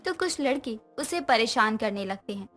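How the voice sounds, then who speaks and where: High-pitched woman narrating a story. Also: animated, moderate pace, a bit bright, soft background music.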